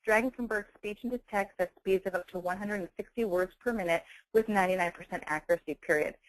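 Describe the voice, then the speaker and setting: A woman giving a webinar software demonstration, enunciating clearly as a presenter. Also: mid-range voice, fast talker, no room sound, low-quality recording. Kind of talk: webinar product demonstration with dictation. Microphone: close handheld speech mic.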